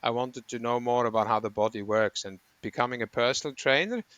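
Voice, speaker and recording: A man's voice: medium pitch, brisk, fairly bright tone, clear, resonant tone, no echo. A middle-aged man from Switzerland, a running coach, talking in an interview with rambling, self-correcting explanations. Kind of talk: conversational interview.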